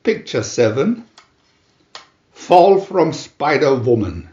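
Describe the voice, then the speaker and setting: A man narrates a comic adventure in a theatrical announcer style. He speaks slowly and with pauses, his pitch swinging widely. The recording is roomy and somewhat dull. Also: very animated, mellow. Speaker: narrator reading aloud a picture-reel booklet.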